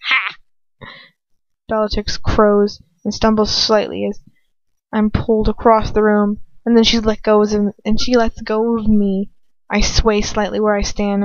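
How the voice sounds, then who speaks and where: A woman reading a story aloud as an amateur narrator, expressive and informal. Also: medium pitch, dry recording. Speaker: amateur audiobook reader. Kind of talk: dramatic fan fiction narration with casual asides. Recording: dull lo-fi home recording.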